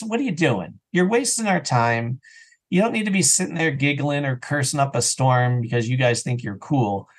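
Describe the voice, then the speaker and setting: A man speaking as an interview guest on a podcast in a casual, opinionated, emphatic conversational way. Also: fairly high pitch. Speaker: interview guest, audio drama writer and actor.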